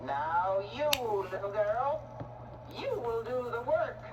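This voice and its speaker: A slow, cackling, swooping old witch. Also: on the high side, sing-song, recorded from a distance, background noise. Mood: bossy, menacing. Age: older. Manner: drawn-out, gloating, cackling threats. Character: scrawny, cruel, gleeful old witch of the woods. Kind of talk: theatrical children's storybook acting.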